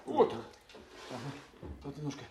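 An actor playing a polite but persistent military investigator, speaking casually in naturalistic radio-play dialogue. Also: male voice, normal speed, lightly acted. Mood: casual, probing. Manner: casual politeness, probing, hesitant small fillers. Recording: noisy radio drama scene.

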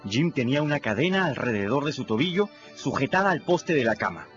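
A man's voice: medium pitch, big pitch swings, a little fast, a bit bright, muffled recording, faint music behind. An actor narrating as a plain-spoken boy in a radio drama, with a wry storytelling manner.

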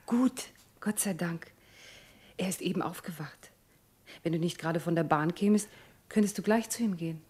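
An actress in a German radio play playing an affectionate, fussing wife and young mother with a husky voice. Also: lowish voice, moderate pace, long pauses, darkish tone. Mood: joyful, flustered.

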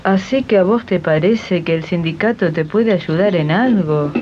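A woman plays an ageing Argentine housemaid, proud, homely and set in her ways. Her voice sits fairly low, in amateur radio drama style on dull, faintly noisy archive tape. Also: moderate pace, lightly acted. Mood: stubborn, dismissive. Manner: dismissive, homely, indignant. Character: loyal, stubborn ageing housemaid, naive and proud.

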